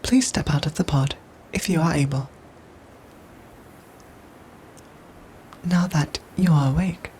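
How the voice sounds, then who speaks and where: Woman as android soldier for ASMR drama: low, soft and whispery, formal, measured, gently protective, over noisy ambience. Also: close to an everyday voice. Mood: gentle, caring. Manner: measured, formal, robotic phrasing with careful pauses. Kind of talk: ASMR audio roleplay acting.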